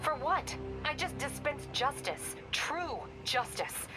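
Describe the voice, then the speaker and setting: A woman's voice: high voice, animated, slow, low-quality recording. An actress playing a stern, stubborn female ex-police captain turned vigilante who speaks in a cool, controlled, determined way in a video game cutscene. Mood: cold. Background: game score music.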